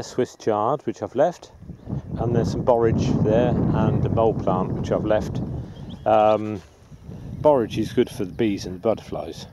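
A middle-aged man giving a casual, unhurried, matter-of-fact gardening vlog demonstration in a rambling way. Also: fairly low pitch. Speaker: allotment gardener presenting own video. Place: outdoors on an open allotment plot. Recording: outdoor background noise with birdsong.

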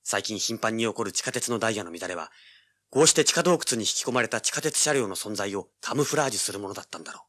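Cocky young male treasure hunter: hard-boiled, rough-spoken, forceful, on the low side, for audio drama. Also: animated, fast. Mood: tense, cool. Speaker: lead actor and narrator. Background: dramatic music.

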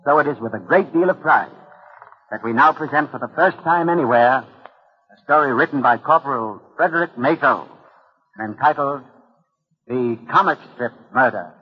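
A man hosting and narrating a radio mystery series in formal, deliberate 1940s style. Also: mid-range voice, expressive, muffled recording, slight background noise.

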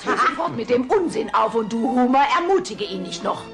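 A woman's voice: fairly high pitch, expressive, fairly quick, creaky, music in the background. An actress playing an earnest, fussing middle-aged mother in a German cartoon dub. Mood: scolding.

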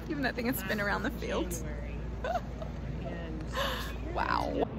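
A woman's voice: mid-range voice, wildly swooping pitch, slow pace, creaky. A woman, vlogging casually to a handheld phone in a convention center, chatty and laughing often. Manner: chatty, laughs often, speaks softly at times. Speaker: farm vlogger. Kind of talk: casual vlog to camera. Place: large convention center and busy bar. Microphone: handheld phone at arm's length. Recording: loud crowd and background noise.

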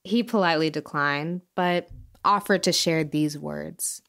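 A woman playing a solemn documentary podcast host, delivering scripted narration in a measured way. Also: low voice, creaky, a bit bright, full character voice. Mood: sombre. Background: music.

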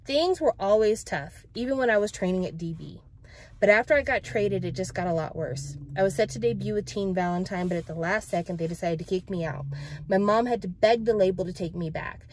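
Young female idol from a novel, read by a woman, frank, resilient, self-assured, medium pitch. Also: a little fast, a little hiss or hum, natural voice. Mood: confessional. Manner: frank, jokey bravado. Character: candid, resilient young woman pop idol, brashly confident. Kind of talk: dramatic book read-aloud.

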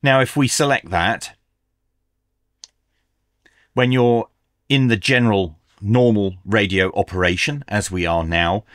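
A middle-aged man narrates a hands-on product review in a fairly low voice. He explains things step by step in a methodical, instructional way. The sound is dry, with very little room to it. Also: fairly bright tone, no room sound. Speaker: radio reviewer, presenter.

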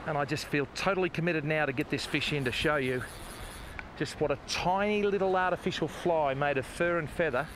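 An older Australian man hosting a fishing show, talking to viewers in a folksy, exclamatory way from a beach. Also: fairly high pitch. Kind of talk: enthusiastic outdoor commentary. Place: outdoors on a sandy beach by the sea. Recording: outdoor wind and water noise.

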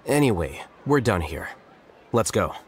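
An actor playing an aloof young male scholar in a game, speaking calmly and curtly. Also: on the low side, some chatter behind, a little hiss or hum. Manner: calm, measured, curt. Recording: game audio with music.

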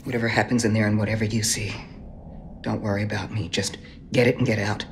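An actress playing a stern, resolute middle-aged mentor in a cinematic game, speaking in a grave, commanding and measured way. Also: female voice, a bass voice, level tone, a little hiss or hum.

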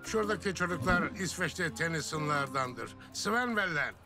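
A man voicing a big, genial grandfather with a creaky voice in a cartoon dub. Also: a little high, lively intonation, normal speed, over music. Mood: cheerful, firm. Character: big jovial grandfather, genial family peacekeeper.

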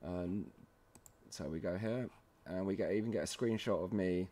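Low-voiced man giving a casual software walkthrough. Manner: informal, halting, often checking in with "okay". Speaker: tutorial presenter.

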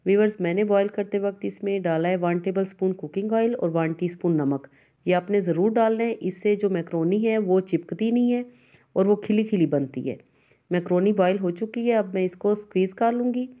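A woman's voice: medium pitch, brisk, warm tone. A woman hosting a cooking show, narrating a recipe step by step and instructing viewers directly.

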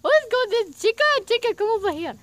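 A woman's voice: very high voice, very animated, brisk, dry recording. A woman voicing a jumpy male plumber plush who complains and scares easily. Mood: whiny. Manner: whiny, easily spooked.

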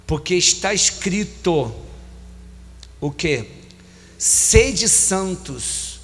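A man in his forties with a Brazilian Portuguese accent, preaching a sermon on a handheld mic, repeating phrases with emphasis and slowing down on key lines. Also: on the high side, a bit bright. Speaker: bishop preaching. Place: large church hall. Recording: long church hall reverb, faint hum.

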